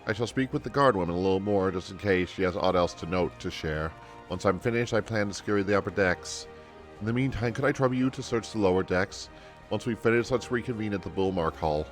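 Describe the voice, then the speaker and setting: A man's voice: fairly low pitch, fairly quick, faint music behind, lightly acted. A man voicing an earnest, courtly video game character who speaks with formal, old-fashioned politeness. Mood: earnest, polite.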